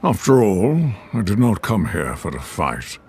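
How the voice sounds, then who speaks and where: Proud menacing old video game villain, real slow, voice swoops theatrically, some noise behind. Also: male voice, low voice, very animated, full character voice. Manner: haughty, deliberate, theatrical. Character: proud, menacing older male mutant supervillain. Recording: game cutscene with noise underneath.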